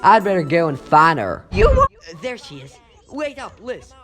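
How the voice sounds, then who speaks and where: Male actor as earnest teenage superhero, comic book dub, raised pitch, lively melodramatic delivery, anxious, hot-tempered. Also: normal speed, soft background music, exaggerated voice. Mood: relieved, eager. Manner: dramatic thinking aloud.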